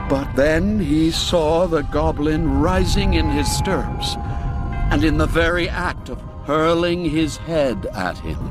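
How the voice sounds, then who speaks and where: A man narrating a classic radio story in a measured, dramatic way, dropping low and quiet for the grim moments. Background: faint music score.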